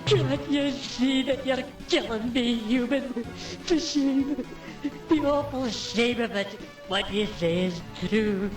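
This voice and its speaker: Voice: mid-range voice, slow, echoey room, distant mic, over music, close to an everyday voice, poor audio. An actor voicing a bitter, ashamed birdlike alien in a video game, anguished and dramatic. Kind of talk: video game character acting.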